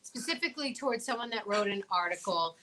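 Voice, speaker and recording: A woman's voice: medium pitch, expressive, quick pace, pushed and bright. A woman talk show host chatting casually, emphatic and opinionated, often posing questions. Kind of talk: casual chat.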